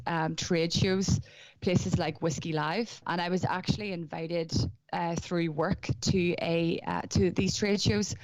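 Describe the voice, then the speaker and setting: A woman's voice: fairly low pitch, a little mellow, dry recording, highs cut off. A woman in her twenties with a Belfast accent, answering as an interview guest in an enthusiastic way, often restarting her sentences. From Northern Ireland. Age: 20s. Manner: enthusiastic, with frequent restarts and fillers. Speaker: guest, whiskey brand ambassador. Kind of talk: remote interview. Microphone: call-in line.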